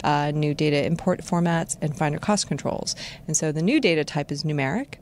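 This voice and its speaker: Low-voiced woman co-hosting a podcast. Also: darkish tone. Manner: friendly, laughs easily, explanatory. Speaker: podcast co-host. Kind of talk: casual tech podcast chat.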